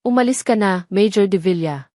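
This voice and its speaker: A woman's voice: mid-range voice, normal speed, mellow, no room sound, studio quality, natural voice. A woman voicing a wounded, guarded woman doctor who speaks in a clipped, controlled way in an audio drama. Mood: cold, firm.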